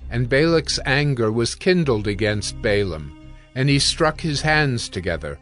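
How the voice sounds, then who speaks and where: A man's voice: mid-range voice, expressive, unhurried, fairly bright tone, faint music behind. A man giving scripted narration as the narrator of a dramatized scripture reading.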